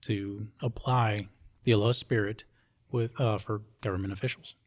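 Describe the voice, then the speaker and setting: A man's voice: lowish voice, fairly even intonation, moderate pace. Explanatory man from Hawaii in conversation. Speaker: police officer interviewee. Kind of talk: conversational interview talk.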